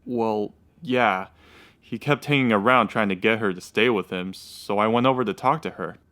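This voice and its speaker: Low-voiced, hesitant lovesick man played by an actor. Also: moderate pace, a bit bright, lightly acted. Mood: earnest, aggrieved. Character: lovesick, dejected male murder suspect under questioning. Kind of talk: radio drama acting.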